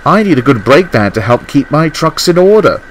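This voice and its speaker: Man voicing an eager, lively little steam engine. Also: fairly high pitch, fairly quick, a little hiss or hum, voice pushed up. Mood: resolute. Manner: eager, well-meaning. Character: cheerful, kind-hearted young male steam engine. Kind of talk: children's storybook character voice.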